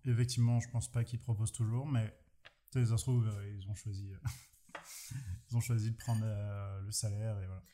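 A man co-hosting a casual chat podcast, talking with many pauses and fillers. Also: fairly low pitch, flat delivery, mellow. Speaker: podcast co-host.

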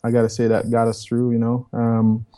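A Jamaican man in his fifties talks through his life story as a guest, loose and rambling, often circling back on his words. His voice is dark and mellow, sitting at an ordinary middle pitch. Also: normal speed. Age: 50s. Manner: rambling, relaxed, repeats words and says man. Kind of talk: storytelling in casual interview.

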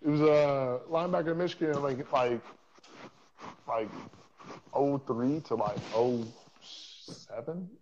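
A man's voice: medium pitch, slow, long pauses, darkish tone, slight background noise. Dull-sounding man rambling from his basement. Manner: loose, profane, rambling. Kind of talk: casual chat. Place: home basement.

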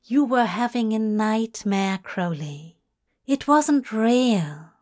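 A woman voicing a gentle, soft-mannered male angel, fond but timid, in a dramatic story reading. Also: a little high, lively intonation, takes it slowly. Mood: fond, reassuring. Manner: soft, reassuring, faltering when afraid. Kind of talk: dramatic audiobook-style fiction reading.

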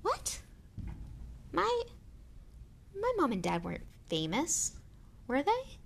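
A woman's voice: on the high side, wildly swooping pitch, measured pace, vocal fry, husky, pitched above the performer's own voice, heavily acted. A woman voicing a young storybook boy who is puzzled, earnest and hesitant. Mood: astonished. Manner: hesitant, questioning.

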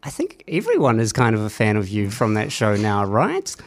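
A man's voice: lowish voice, wildly swooping pitch, moderate pace. Upbeat New Zealand male podcast host. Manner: upbeat, giggly, rambling. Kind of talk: casual podcast chat. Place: home studio.

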